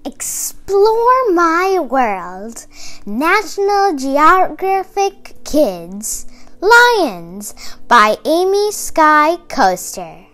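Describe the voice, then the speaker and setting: A young girl reading a picture book aloud for children with careful, expressive, emphatic phrasing. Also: high-pitched, extremely slow. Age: child, about 8 to 11. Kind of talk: expressive read-aloud for kids.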